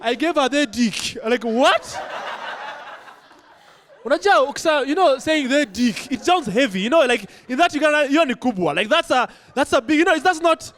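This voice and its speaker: A man's voice: extremely high pitch, lively intonation, normal speed, fairly bright tone. A Kenyan man doing stand-up, chatting loosely with the crowd on a club stage. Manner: conversational, crowd-teasing, switching English and Swahili. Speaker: stand-up comedian. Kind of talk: stand-up set. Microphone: handheld stage mic.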